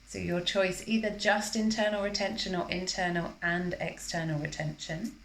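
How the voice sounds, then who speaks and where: A woman teaching yoga, guiding a breathing practice in a calm, measured, instructional manner during a live class. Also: fairly low pitch, vocal fry, slight background noise. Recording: roomy, echoing live class recording.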